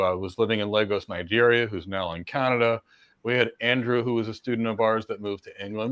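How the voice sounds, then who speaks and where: A man's voice: lowish voice, fairly bright tone. Confident man coaching on cloud careers. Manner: confident, talks in long runs with repeated words and quick asides. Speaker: cloud career coach answering viewer questions. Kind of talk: live Q&A, casual explanatory talk.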